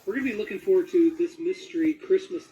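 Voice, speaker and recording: Fast-talking male host, mellow and higher-pitched. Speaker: interview host. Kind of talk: casual interview chat. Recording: dull, lo-fi audio with background music.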